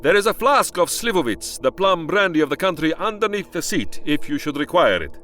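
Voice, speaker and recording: A tall, sinister coachman in a gothic audio drama. His voice is sharp and forceful, swinging widely in pitch, courteous on the surface and commanding underneath. Faint music sits behind him. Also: sing-song, brisk. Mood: courteous, eerie. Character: tall sinister bearded carriage driver, eerily courteous and commanding.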